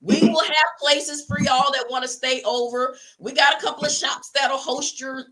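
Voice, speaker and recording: Woman, hoarse and losing her voice, high-pitched, animated and boastful podcast guest. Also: normal speed, poor audio. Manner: animated, boastful, heavy on y'all. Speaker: podcast guest and event organizer. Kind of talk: casual livestream chat.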